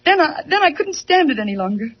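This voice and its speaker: Anxious young woman, lively swooping pitch, hesitant, polite, vintage radio drama, muffled recording. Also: fast. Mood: worried. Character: anxious, well-mannered young daughter of an explorer. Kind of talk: 1940s radio drama acting.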